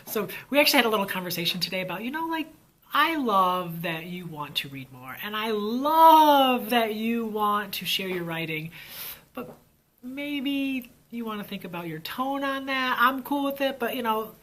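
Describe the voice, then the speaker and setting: A man's voice: sits high, sing-song, normal speed, sharp tone. A man who teaches fifth grade, chatting casually and enthusiastically with lots of fillers. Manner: enthusiastic, rambling with many fillers. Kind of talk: casual vlog chat.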